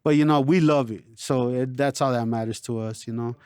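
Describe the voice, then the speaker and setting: A man born in Chicago and raised in El Paso, a rapper talking casually in an interview, relaxed and streetwise, always saying "you know". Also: mid-range voice, fairly quick, clean tone, no echo. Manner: laid-back, streetwise, lots of "you know". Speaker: local rapper, interview guest.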